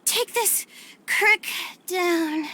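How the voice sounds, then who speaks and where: An actress voicing an earnest, excitable, brave little girl in an anime game, squeaky and eager, full of exclamations. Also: exaggerated voice.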